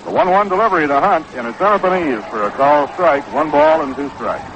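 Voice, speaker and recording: An American man calling baseball play-by-play in 1960s radio style, with a measured, unhurried, factual delivery. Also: fairly high pitch, expressive, fairly bright tone. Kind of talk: 1960s live radio sports commentary. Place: ballpark broadcast booth. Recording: dull, noisy 1960s AM radio broadcast.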